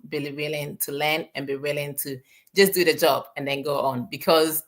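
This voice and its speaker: A woman's voice: low voice, steady tone, moderate pace. A woman from Ghana speaking earnestly on an online panel, encouraging listeners and repeating phrases for emphasis. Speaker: guest speaker. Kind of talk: live online panel talk.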